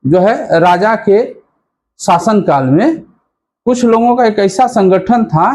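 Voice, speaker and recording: A man's voice: high voice, slow pace, a little mellow. A man teaching history in an explanatory exam-prep style, repeating and rephrasing key points as he goes.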